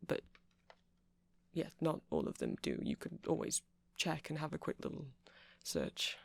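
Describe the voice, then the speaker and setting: A man playing a nerdy male vampire in an ASMR roleplay, rambling haltingly and passionately to a listener as he explains things. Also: on the high side, wildly swooping pitch, creaky, no room sound, heavily acted. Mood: conceding, earnest.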